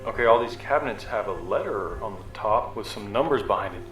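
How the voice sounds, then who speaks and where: A man playing an eager, reckless explorer in a sci-fi audio drama, excitable and curious. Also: fairly low pitch, a little fast, lightly acted. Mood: curious discovery. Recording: roomy, distant mic, music behind.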